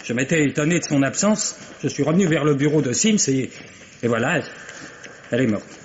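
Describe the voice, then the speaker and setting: An actor playing an earnest, inquisitive and deferential police assistant who questions haltingly in a vintage French radio mystery. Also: male voice, mid-range voice, animated, a little hiss or hum, close to an everyday voice. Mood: shaken. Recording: dull vintage radio drama recording.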